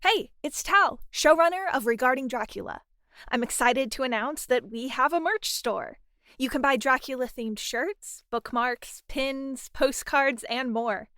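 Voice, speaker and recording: A woman's voice: sits high, a little slow, sharp tone, no echo, studio quality. A woman, a podcast showrunner, reading a scripted announcement.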